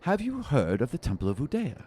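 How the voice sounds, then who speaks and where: Halfling seeker for fantasy game, played by a man: small, jaunty, teasing, raised pitch, swooping delivery. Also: huge pitch swings, brisk, darkish tone, breathy edge. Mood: playful, cryptic. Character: chipper, cryptic little halfling seeker in official robes. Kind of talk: tabletop role-play.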